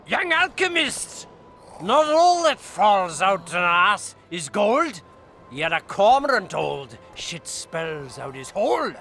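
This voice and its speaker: Cranky drunk game scribe, high creaky voice, slow slurred rambling, theatrical, erratic. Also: male voice, sing-song, sharp tone, a little hiss or hum, exaggerated voice. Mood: raving, declamatory. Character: drunken, raving male scribe, cranky and eccentric. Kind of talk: video game voice acting.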